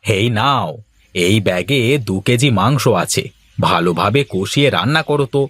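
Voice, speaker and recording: A man's voice: fairly low pitch, animated, moderate pace, a bit bright, no echo. A man voicing a devoted village son and firm husband in a cartoon. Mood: brisk, matter-of-fact. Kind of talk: cartoon voice acting.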